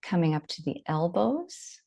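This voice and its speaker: Low-pitched woman guiding meditation, slightly creaky. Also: sing-song. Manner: gentle, unhurried instruction with long pauses. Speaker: meditation guide. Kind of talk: guided body scan meditation.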